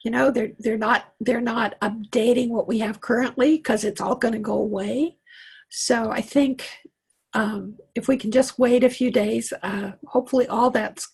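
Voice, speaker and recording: A middle-aged woman from Wyoming with a Western American accent tinged Southern, talking through a computer mic on a video call. Her voice is deeply husky and sits a little high, and she is chatty and reassuring, looping back with fillers as she coaches a class. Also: fairly even intonation, moderate pace, a little mellow. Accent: Western American with a slight Southern tinge. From Wyoming, USA. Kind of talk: live online tech class.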